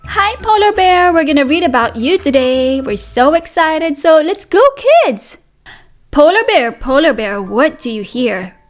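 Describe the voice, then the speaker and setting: High, animated woman teaching young children. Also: a little slow, faint music behind. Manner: sing-song, addresses small children with questions and repetition. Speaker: preschool teacher and read-aloud host. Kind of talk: interactive children's read-aloud lesson.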